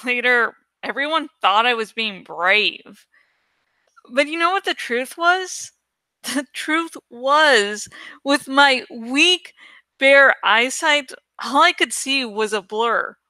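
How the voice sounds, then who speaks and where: Bright, clear-voiced woman reading stories aloud. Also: high voice, animated, slow, no room sound. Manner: informal, self-correcting. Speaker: amateur audiobook reader and channel host. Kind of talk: expressive story narration with casual asides.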